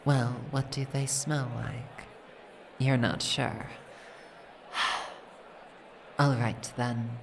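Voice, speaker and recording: A woman voicing a possessive, regal dragon princess in a fantasy audio roleplay, speaking in a formal, imperious, measured way. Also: rumbling low, fairly even intonation, gravelly, slight background noise, full character voice. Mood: suspicious, amused.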